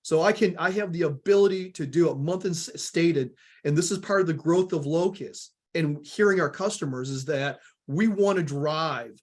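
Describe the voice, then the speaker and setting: A man with a Southern US accent presenting a product webinar, energetic and repeating words as he talks, often calling the audience guys. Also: a little high, moderate pace, hardly any pauses.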